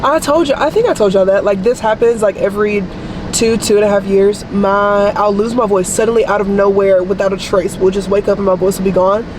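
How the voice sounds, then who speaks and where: A young woman vlogging casually to camera, chatty and rambling, her voice deeper than usual after losing it, with air conditioning humming around her. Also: steady tone, brisk. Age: young adult. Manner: chatty, rambling, says y'all a lot. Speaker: vlogger, nursing student. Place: coffee shop and car. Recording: noisy background with air conditioning.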